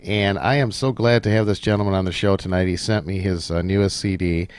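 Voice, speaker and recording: A man's voice: low-pitched, steady tone, a little fast, clean tone, no echo. A man hosting a country music radio show, with upbeat presenter patter and a few fillers.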